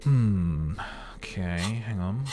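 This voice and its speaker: A man's voice: low-pitched, lively intonation, slow, music in the background. A man giving casual gaming commentary as host of a playthrough, muttering to himself as he plays. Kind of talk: playthrough commentary with read-aloud game narration.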